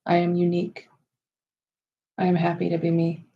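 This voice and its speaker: A woman leading a guided meditation in a slow, soothing style, with measured, unhurried phrases and pauses. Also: lowish voice, completely flat delivery, slightly rough, highs cut off.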